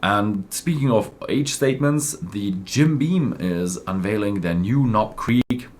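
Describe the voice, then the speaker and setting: A man presenting news informally as a host, speaking in a halting way and correcting himself as he goes. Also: on the low side.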